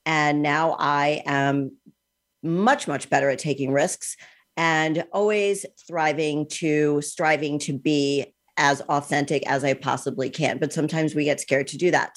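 Sixty-something lady hosting her podcast, low voice, chatty and excited, lots of ums. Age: 60s. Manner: chatty, enthusiastic, uses ums. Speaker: podcast host. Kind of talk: podcast interview.

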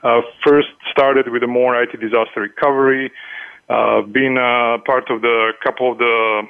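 Halting male guest on a phone line. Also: medium pitch, flat delivery, creaky, smooth, no echo. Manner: halting, frequent uhs and ums. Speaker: guest consultant. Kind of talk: interview answers. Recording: dry tinny phone line.

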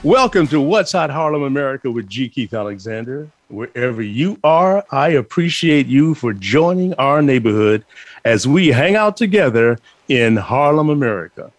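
A man from Chicago hosting an interview, speaking slowly and haltingly with many fillers. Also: medium pitch, lively intonation, no room sound, soft background music. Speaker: host. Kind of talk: interview host.

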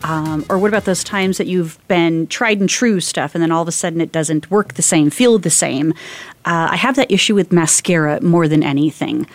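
Female talk host, lowish pitch, close dry sound, informal and rambling conversational delivery. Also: normal speed, no echo, soft background music. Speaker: radio show host. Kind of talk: casual, chatty interview.

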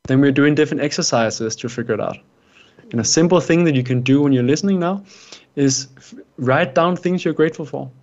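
Danish male guest, native Danish, mid pitch, earnest coaching tone, muffled recording. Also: a little mellow. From Denmark. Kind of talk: interview answers. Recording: dull lo-fi sound.

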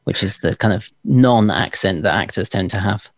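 A man's voice: fairly low pitch, normal speed, dry recording, clean studio sound. A man from London with an English Received Pronunciation accent, chatting anecdotally as an interview guest in his home office. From London, England. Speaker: interview guest, performance coach and performer. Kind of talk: conversational interview answers.

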